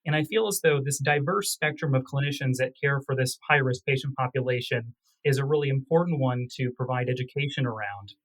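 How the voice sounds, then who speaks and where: A man speaking as a physician researcher in a medical interview, with formal, technical and measured phrasing. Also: medium pitch, low-quality recording.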